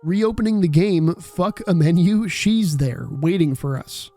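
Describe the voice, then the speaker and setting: A man's voice: high voice, unhurried, dark tone, soft background music. A man narrating a video essay in a casual, reflective way, with the occasional swear.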